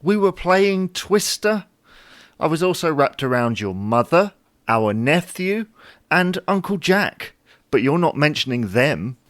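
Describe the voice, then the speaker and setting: A man's voice: a little high, sing-song, measured pace, fairly bright tone, no room sound. A man playing a dry, reasonable but exasperated husband in an audio drama. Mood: defensive.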